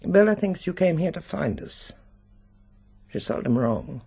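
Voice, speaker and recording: A British actor playing a sinister, secretive occult expert in a radio drama, speaking deliberately and insinuatingly. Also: male voice, fairly high pitch, very animated, creaky, a little mellow, slightly rough, heavily acted.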